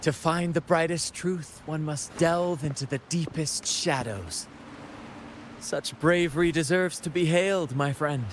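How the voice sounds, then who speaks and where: A man's voice: on the high side, a little slow, slight background noise, natural voice. A man voicing a bold, flamboyant young captain of roving players in a video game, theatrical and gallant. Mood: gallant, reassuring. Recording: background music under the voice.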